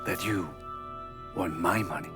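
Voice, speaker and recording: An actor playing a cold, formal, menacing heroin supplier in a video game cutscene. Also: male voice, low-pitched, a little slow, over loud music. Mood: cold, controlled.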